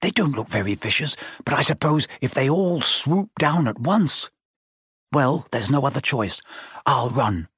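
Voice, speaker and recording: A man's voice: mid-range voice, expressive, a little slow, a bit bright, slightly rough, dry recording, studio quality, exaggerated voice. A man voicing a brave, plain-spoken young schoolboy wizard in a storybook reading, with a matter-of-fact manner. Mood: wary, resolved.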